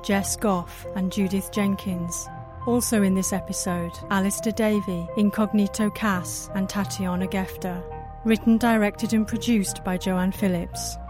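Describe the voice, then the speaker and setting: Woman announcer over prominent music. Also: mid-range voice. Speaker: credits announcer. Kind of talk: scripted credits read. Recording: studio read over theme music.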